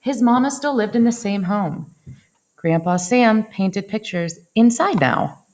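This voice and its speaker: A woman reading a picture book aloud in an expressive storytelling style, dwelling on action words with dramatic phrasing. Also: medium pitch, measured pace. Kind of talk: expressive children's read-aloud narration.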